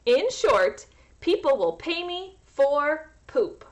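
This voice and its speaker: A woman's voice: high-pitched, sing-song, slow, voice pushed up, full character voice. A woman voicing an earnest, persuasive little girl in a children's storybook, with emphatic, questioning delivery. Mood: earnest persuasion.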